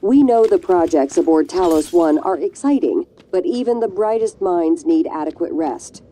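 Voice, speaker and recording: A woman's voice: fairly low pitch, lively intonation, normal speed, warm tone, a little hiss or hum, close to an everyday voice, poor audio. A woman playing a polished, soothing corporate announcer in a sci-fi video game, reading a scripted announcement. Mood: soothing, official.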